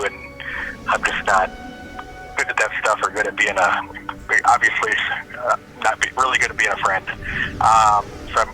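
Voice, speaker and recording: An awkward, friendly guy played by a man rambles through a voicemail in an audio drama. His voice is extremely bright and mid-pitched, with faint music behind his halting, casual talk. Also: poor audio. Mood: sheepish, apologetic. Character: joshing, awkwardly apologetic male friend.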